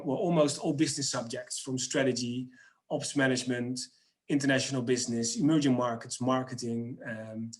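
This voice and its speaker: A middle-aged man with a Dutch accent presents his company over a video call, upbeat, with many fillers and restarts. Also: mid-range voice. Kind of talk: webinar product presentation.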